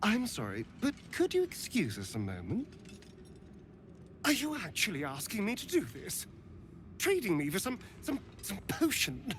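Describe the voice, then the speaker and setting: A man playing an arch, fastidious vampire spawn in a video game, with a flamboyant, theatrically affronted manner. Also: high-pitched, wildly swooping pitch, fairly bright tone, a little hiss or hum, full character voice. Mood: affronted, disgusted. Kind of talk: dramatic video game acting.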